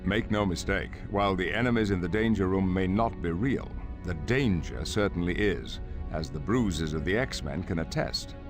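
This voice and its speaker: A man playing a calm older mentor of a hero academy in a game cutscene, speaking formally and with authority. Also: low-pitched, normal speed. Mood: instructive. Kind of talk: video game cutscene acting. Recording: music behind the voice.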